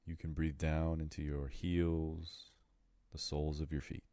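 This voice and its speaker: A man leading a guided meditation, speaking in soothing, measured phrases with deliberate pauses. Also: extremely low pitch, flat delivery, warm tone, no echo.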